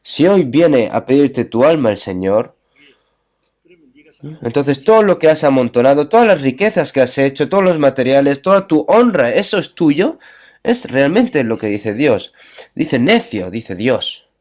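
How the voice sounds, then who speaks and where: A man's voice: medium pitch, very animated, unhurried, a little mellow. A man preaching a sermon. He reads scripture aloud, asks his listeners rhetorical questions, and keeps checking in with them through short tag questions.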